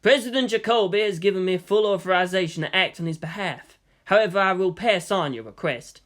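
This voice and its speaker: A narrator playing a weary male army general, formal and measured, who reasons and pleads firmly. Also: mid-range voice, a bit bright, close to an everyday voice. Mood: solemn, pleading. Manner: measured, official, placating.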